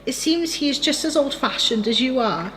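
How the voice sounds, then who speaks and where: A woman's voice: high-pitched, expressive, normal speed, a little hiss or hum. An actress in a radio play as a frank, insistent young woman, a daughter standing up for herself. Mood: reluctant. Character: reluctant, defiant engaged daughter, frank with her father.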